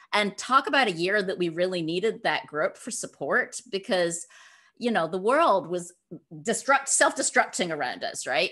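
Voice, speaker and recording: A woman's voice: fairly low pitch, fairly bright tone. Woman author talking emphatically in an interview. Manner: emphatic, informal, acts out imagined dialogue. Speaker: guest author and podcaster. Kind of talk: animated conversational interview answers.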